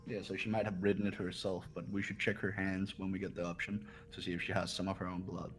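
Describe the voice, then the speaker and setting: A man's voice: deep voice, level tone, fast, slight background noise. A man reasoning aloud cautiously in a casual group game discussion. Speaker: player in a group playthrough.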